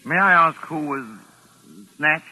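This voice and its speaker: A man acting a well-spoken, dryly incredulous gentleman doctor in a 1940s radio drama, speaking formally and precisely. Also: a little high, fairly even intonation, measured pace, poor audio. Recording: vintage 1940s radio recording.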